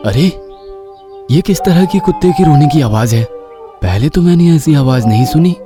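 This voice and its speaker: A man playing a puzzled, dutiful police inspector in an animated horror story, in a dramatic, questioning manner. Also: on the high side, normal speed, over music, natural voice.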